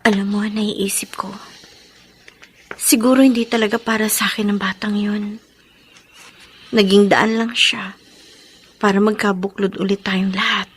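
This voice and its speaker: A woman playing a gentle, forgiving young daughter in a Filipino radio drama, speaking softly and respectfully with a breathy voice. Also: medium pitch, steady tone, measured pace, long pauses. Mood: sad, resigned.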